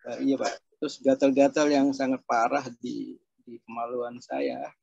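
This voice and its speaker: A man's voice: medium pitch, flat delivery, measured pace, vocal fry, darkish tone, dry recording. A man from Surabaya giving a candid, chatty testimonial over a video call, with a self-deprecating manner. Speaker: program participant giving a testimonial. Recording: dry, dull lo-fi call.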